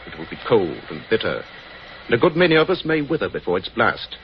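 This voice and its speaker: Lofty British detective, old radio. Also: male voice, lowish voice, very animated, a little fast. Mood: grave, stirring. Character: brilliant, commanding, eloquent male English detective. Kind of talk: 1940s radio drama acting. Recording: dull, noisy 1940s radio recording.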